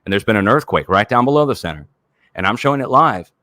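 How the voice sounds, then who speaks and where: A man's voice: fairly low pitch, expressive, fast, pushed and bright, no room sound, clean studio sound. Rambling man in his forties on a call. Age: 40s. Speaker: earthquake livestreamer. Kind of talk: casual video call chat.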